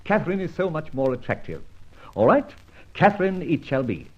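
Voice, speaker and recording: An actor playing a masked, mocking yet courteous gentleman abductor in an old-time radio detective drama, with a theatrical, urbane, teasing delivery. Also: a little high, very animated, fairly quick, husky, highs cut off.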